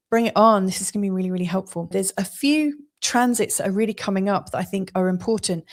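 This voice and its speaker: A woman's voice: medium pitch, a little mellow. A woman from England with an English accent, giving an astrology forecast in an earnest, chatty monologue with frequent laughs and fillers.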